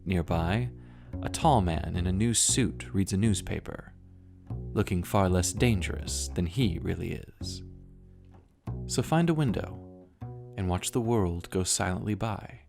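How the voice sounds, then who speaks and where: A moody noir narrator for an audio drama. His voice is very low and creaky, and he takes his time with every phrase. Soft plucked bass music plays behind him. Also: animated, a little slow, exaggerated voice. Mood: moody, hushed intrigue. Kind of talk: scripted scene-setting narration. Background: plucked double bass music.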